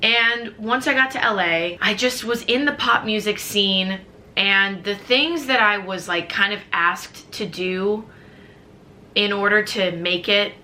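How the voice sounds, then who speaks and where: A woman's voice: mid-range voice, a little slow, bright, forceful tone, slight background noise. An American woman in her twenties from the Chicago suburbs, chatting casually to camera in a rambling, upbeat vlog with lots of fillers. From Chicago suburbs, USA. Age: 20s. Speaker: vlogger.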